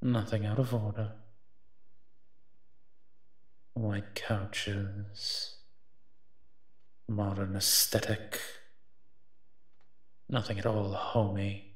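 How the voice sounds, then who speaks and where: Grumbling low-voiced monster, acted by a man. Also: level tone, slow pace, long pauses. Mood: disdainful, musing. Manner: grumbling, sardonic, dry. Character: grumbling, reluctant invisible male monster, secretly soft-hearted. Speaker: voice actor in an audio roleplay. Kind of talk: scripted character monologue, audio roleplay.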